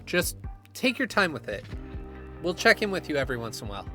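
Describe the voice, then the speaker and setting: A man's voice: on the high side, big pitch swings, fast, pushed and bright. A man playing a blunt, grumbling young housemate in a naturalistic audio drama, talking casually. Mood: easygoing. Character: grumbling but committed young man among haunted housemates. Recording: music under the dialogue. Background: music.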